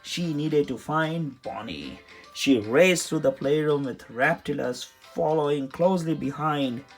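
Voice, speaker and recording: Children's read-aloud narrator: medium pitch, slightly husky, scripted storybook delivery. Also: animated, unhurried. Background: music under the voice.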